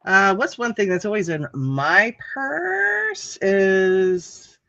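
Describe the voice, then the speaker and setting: A woman's voice: mid-range voice, very animated, drawn-out words, a bit bright, dry recording, lo-fi sound. A woman co-hosting a casual online chat show, talking loosely, laughing often and cursing casually. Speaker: co-host. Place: home with animals behind her.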